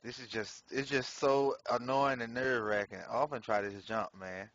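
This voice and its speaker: Give me a man streaming a game, bright and animated, recorded on a dull, dry headset mic. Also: medium pitch, moderate pace, no echo. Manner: slangy, profane, laughs often. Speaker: gameplay streamer. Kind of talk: casual live gameplay commentary.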